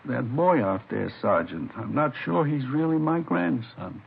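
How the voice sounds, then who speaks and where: A man's voice: mid-range voice, expressive, a little slow, vocal fry. An actor playing a troubled elderly grandfather in a 1950s radio drama, speaking hesitantly and apologetically. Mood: troubled, unsure. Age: older. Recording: muffled, thin, faintly hissy old radio recording.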